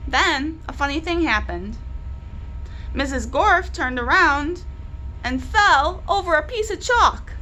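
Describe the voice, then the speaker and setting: A woman reads a children's story aloud with a bright, high voice. Her delivery is lively and expressive, pitched at young listeners, over clear background noise. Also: rough recording. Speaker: library storyteller.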